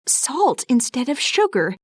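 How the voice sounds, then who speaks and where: A woman's voice: high-pitched, sing-song, a little slow, husky, no echo, voice pushed up, full character voice. A woman voicing a dutiful eldest teenage sister in a storybook reading, earnest in tone.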